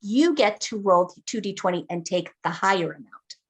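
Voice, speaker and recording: A woman's voice: mid-range voice, moderate pace. A woman acting as game master, patiently walking a player through character creation and often checking that they follow. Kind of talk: explaining game rules.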